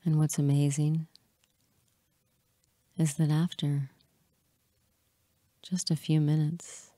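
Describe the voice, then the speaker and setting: A woman guides a hypnosis session in a low, soft-toned and relaxed voice. She speaks very slowly and soothingly, leaving pauses between short phrases, and sounds close and dry, with no room around her. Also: dry recording. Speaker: hypnotist guiding listeners.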